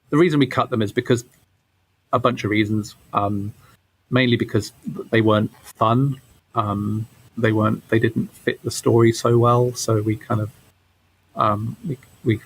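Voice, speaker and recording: A man giving casual interview answers as a game developer, halting and often repeating his words. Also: medium pitch, husky, dry recording, rough recording.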